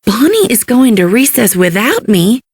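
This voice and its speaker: A woman voicing a small, anxious and devoted handmade toy in a children's read-along, earnest and excitable. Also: on the high side, normal speed, breathy edge, no echo, clean studio sound, heavily acted. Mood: worried.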